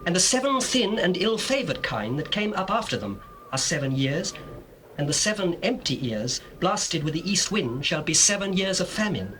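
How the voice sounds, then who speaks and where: An earnest young prophet with a British accent, high and forceful, declaiming solemn interpretations. The delivery is emphatic biblical drama with lively pitch, on a faintly hissy old tape. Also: male voice, normal speed, rough recording. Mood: solemn, urgent. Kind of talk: dramatized scripture acting in archaic English. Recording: faint hiss of old cassette.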